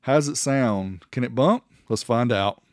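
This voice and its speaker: A man's voice: medium pitch, a little slow, no echo, studio quality. A man hosting a gear review, narrating tests casually in a matter-of-fact, explanatory way and reading out figures. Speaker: host of an audio gear review channel. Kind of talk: casual product test narration.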